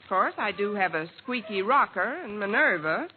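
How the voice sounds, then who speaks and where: A woman's voice: medium pitch, expressive, moderate pace, narrow, tinny audio, natural voice. An actress playing a wry, wisecracking single woman in a mid-century radio sitcom, on an old recording. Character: wry single woman alone with her cat. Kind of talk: 1940s-50s radio sitcom acting and narration. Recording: noisy, band-limited old radio recording.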